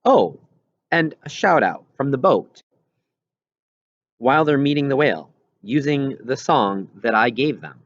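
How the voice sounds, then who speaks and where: A man's voice: medium pitch, sing-song, a little slow, pauses often, no room sound, voice pushed up. A man reading as a disappointed, sulky young girl with a plaintive manner in a storybook. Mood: disappointed, sarcastic. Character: disappointed, sulky young girl narrator who loves whales. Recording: dull, lo-fi recording.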